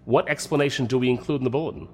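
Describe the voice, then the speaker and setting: An actor playing a composed counterterrorism agent in a science fiction audio drama, answering tersely with deadpan humor. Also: male voice, medium pitch, racing, soft background music, close to an everyday voice.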